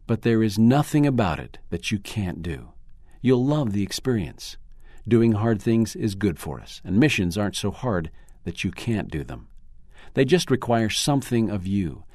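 Deep-voiced man reading an article. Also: darkish tone, dry recording, clean studio sound. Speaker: narrator. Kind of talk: scripted reading of a first-person article.

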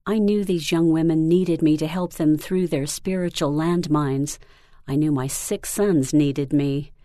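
A woman reading a first-person story aloud in a calm, earnest manner, recorded close to the microphone. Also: sits low, a little slow, no echo. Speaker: reader.

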